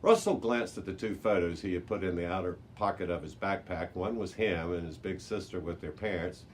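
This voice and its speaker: A man's voice: deep voice, brisk. A man reading a children's adventure story aloud as a library narrator, with the odd small stumble. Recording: noticeable background noise.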